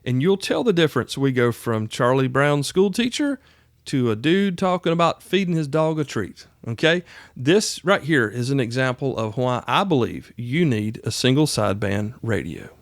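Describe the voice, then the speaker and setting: A man's voice: medium pitch, big pitch swings, moderate pace, no room sound, clean studio sound. A man hosting an instructional podcast in a plain-spoken, folksy, emphatic way, often checking in with the listener. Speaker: podcast host, radio instructor.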